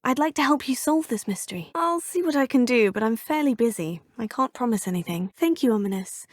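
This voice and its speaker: An actress with a British accent voicing a young woman who is a curious, polite student of magic in a video game, crisp and well-spoken with a lightly wry manner. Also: on the high side, animated, a little fast, dry recording. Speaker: player character in a video game. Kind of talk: game voice clips. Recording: dry studio voice-over.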